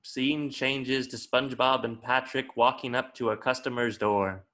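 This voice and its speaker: A man's voice: mid-range voice, fairly even intonation, measured pace, fairly bright tone. A man reading scripted stage directions as the narrator of a table read.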